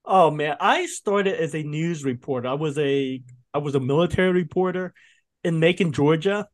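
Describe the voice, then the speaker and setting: A man in his fifties speaks as a podcast guest, his voice sitting a little high for a man. He tells stories with animated delivery, laughing often and restarting sentences as he goes. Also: moderate pace. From Vietnam. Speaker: guest, food writer. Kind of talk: casual podcast interview.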